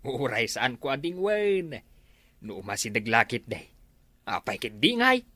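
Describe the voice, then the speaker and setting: A man's voice: medium pitch, big pitch swings, measured pace, pushed and bright, no room sound, heavily acted. A man with an Ilocano accent playing a scheming village rascal in an amateur comedy drama. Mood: wary.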